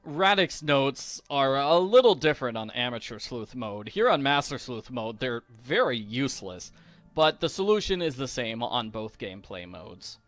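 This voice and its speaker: Male walkthrough host: casual, playful explainer, lively intonation, dry recording over faint music. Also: medium pitch, moderate pace, no echo. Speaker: video game walkthrough host. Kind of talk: casual game walkthrough commentary.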